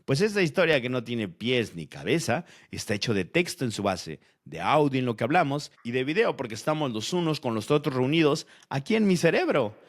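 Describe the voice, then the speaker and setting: A man's voice: mid-range voice, very animated, fairly quick, few pauses. A man playing a logical-minded character who explains storytelling in a scripted educational audio fiction. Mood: explanatory. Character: logical-minded man debating how stories work.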